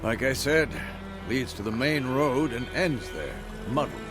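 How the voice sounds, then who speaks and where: An actor playing a gruff, wry older monster hunter in a video game cutscene, with an offhand way of talking. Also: male voice, medium pitch, sing-song, measured pace. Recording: game audio with music behind.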